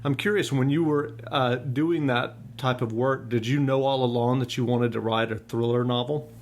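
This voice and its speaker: A man's voice: medium pitch, steady tone, moderate pace, slight background noise. Man hosting a podcast interview. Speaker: podcast host interviewing an author. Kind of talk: conversational interview.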